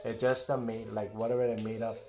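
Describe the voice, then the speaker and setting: A man's voice: on the low side, flat delivery, fast. A young man from Thailand co-hosting a casual family video, speaking hesitantly with lots of fillers and trailing off. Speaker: co-host of a family channel. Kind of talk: casual chat.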